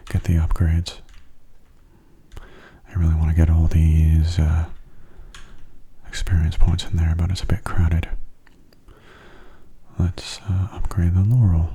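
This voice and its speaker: Very soft, dark-voiced man, low ASMR murmur. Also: completely flat delivery, unhurried, long pauses, husky. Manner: hushed, slipping into whispers. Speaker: video game streamer. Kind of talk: soft-spoken ASMR gameplay commentary.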